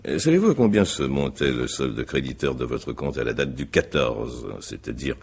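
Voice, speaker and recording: Very deep, gravelly examining magistrate. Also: male voice, expressive, darkish tone, full character voice. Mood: probing, formal. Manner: formal, measured, hesitant questioning. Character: probing, courteous male examining magistrate, methodical and insistent. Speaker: actor in a radio mystery. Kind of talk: French radio mystery drama acting.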